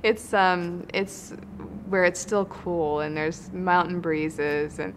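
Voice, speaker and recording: Even-toned, lowish-voiced woman explaining conversationally. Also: slow, background noise. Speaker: documentary interviewee. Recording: music playing behind the voice. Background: background music.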